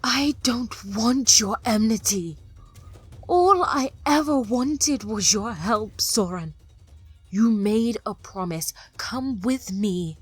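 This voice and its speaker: A proud, wronged female mage, played by a woman in an audio drama. Her voice is fairly high and husky. She speaks slowly and with earnest, insistent feeling over faint music. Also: expressive, no room sound, heavily acted. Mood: hurt, pleading. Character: proud, wronged female stone mage, defiant former student.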